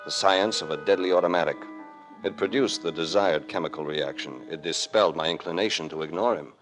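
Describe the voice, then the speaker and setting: A cool, wry detective hero played by a man in a golden-age American radio mystery, with music in the production. The voice is low and the delivery clipped and dryly noir. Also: fairly quick, lightly acted. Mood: grim narration. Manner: dry, sardonic, clipped. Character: cool, wry hard-boiled male investigator hero. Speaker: lead and narrator. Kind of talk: 1940s radio acting, noir narration. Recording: vintage radio drama with music.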